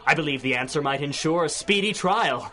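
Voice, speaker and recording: A man's voice: on the high side, lively intonation, fairly quick, bright, forceful tone. An actor playing a vengeful, theatrical male supervillain in a radio serial, declaiming like an accusing prosecutor. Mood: oratorical. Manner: grandiose, oratorical, accusing. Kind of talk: 1930s radio serial acting.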